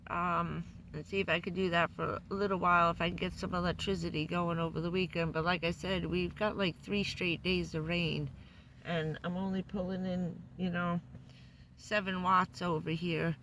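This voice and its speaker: A hoarse woman who says she is losing her voice, giving a loose, chatty van-life update full of fillers. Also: on the low side, level tone, normal speed, a bit bright, slight background noise, low-quality recording. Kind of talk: casual rambling live update. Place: living in a van.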